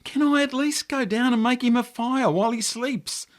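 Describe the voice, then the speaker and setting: A man voicing an anxious, pleading young grandchild in a story, urgent and worried, with an Australian accent. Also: moderate pace. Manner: urgent, worried, raised pitch.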